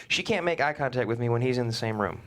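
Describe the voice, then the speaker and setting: A man's voice: mid-range voice, expressive, brisk, lightly acted. An actor reading a sullen, withdrawn middle-aged father who talks dryly. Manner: sullen, dry, grudging. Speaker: actor in a table read.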